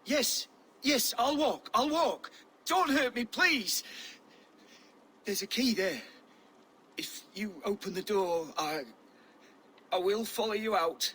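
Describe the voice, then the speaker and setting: A panicky, pleading Saxon priest. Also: male voice, high-pitched, slow, pauses often, pushed and bright, slight background noise, heavily acted. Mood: frightened pleading.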